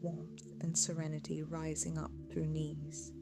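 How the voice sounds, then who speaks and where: A woman narrating a guided meditation in a soothing, measured, hypnotic way, with phrases trailing off into a hush. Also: deep voice, completely flat delivery. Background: music.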